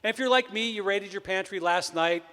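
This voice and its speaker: A man's voice: a little fast, bright, forceful tone. A man from Wisconsin with a Wisconsin accent, emceeing a college convocation with upbeat announcements and jokes. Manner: upbeat, joking, booming sports-announcer intro. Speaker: event emcee. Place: large hall. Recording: long hall reverb.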